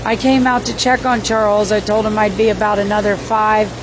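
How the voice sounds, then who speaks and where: A hoarse woman hosting a livestream, rambling and cursing as she recounts events and reads viewer comments. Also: mid-range voice, little pitch movement, brisk. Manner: rambling, profane, exclamatory. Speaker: livestream host. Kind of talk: casual livestream recap reading viewer comments. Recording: noisy background.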